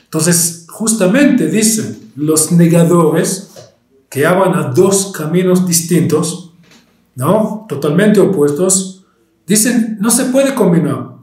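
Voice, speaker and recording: An Israeli historian with a Spanish accent picked up in Argentina, lecturing and pausing often with fillers and restarts. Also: male voice, high voice, animated, warm tone, reverberant, low-quality recording. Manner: hesitant, many fillers and restarts.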